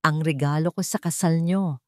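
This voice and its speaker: A woman's voice: deep voice, steady tone, normal speed, dry recording, studio quality, natural voice. Woman voicing a stern older rich father. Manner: firm, emphatic.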